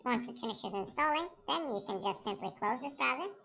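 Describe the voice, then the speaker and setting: A woman hosts a software tutorial, walking through each step in plain, repetitive instructional phrasing. Her voice is bright and forward, with a noticeable creaky fry running through it. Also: a little high, lively intonation, brisk. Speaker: tutorial host.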